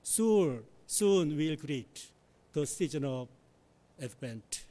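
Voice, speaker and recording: Pastor, man in his forties, slightly husky, measured and unhurried delivery at the pulpit, voice a little high. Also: lively intonation, warm tone. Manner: slow, measured preaching with careful phrasing. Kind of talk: sermon. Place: church sanctuary, at the pulpit. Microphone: pulpit microphone.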